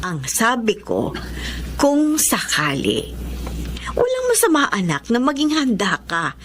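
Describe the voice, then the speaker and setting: A woman's voice: fairly high pitch, huge pitch swings, slow, fairly bright tone, slight background noise, heavily acted. A woman playing a protective, cautioning middle-aged mother in a Filipino radio drama, with a concerned, motherly manner. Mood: concerned, advising.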